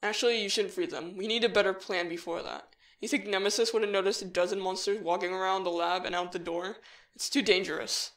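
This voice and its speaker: A woman's voice: medium pitch, steady tone, quick pace, close to an everyday voice. A woman playing an earnest, take-charge human child in a fan audio drama, direct and practical.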